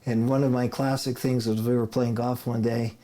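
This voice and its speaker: A man's voice: mid-range voice, little pitch movement, normal speed, warm tone. A middle-aged man from Idaho, a guest in a casual interview, telling rambling stories and chuckling often. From Idaho, United States. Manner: rambling storyteller, chuckles often, restarts sentences.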